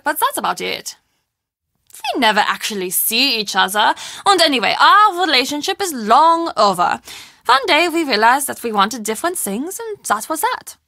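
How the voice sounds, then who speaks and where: An earnest woman who manages a castle, with a fairly high, bright voice. She talks conversationally and expressively, confiding yet quick to defend herself. The recording is dry and close. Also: no room sound, full character voice. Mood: guarded. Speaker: video game character. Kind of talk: video game dialogue acting.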